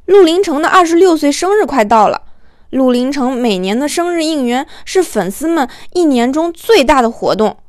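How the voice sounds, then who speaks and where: A woman with a high voice reads a light romantic comedy novel as an audiobook. She tells it expressively and colloquially, drawing out little particles like a storyteller. The sound is dry and close, with no room to it. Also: lively intonation, moderate pace, no echo. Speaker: audiobook narrator. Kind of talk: expressive scripted narration of a comic romance novel.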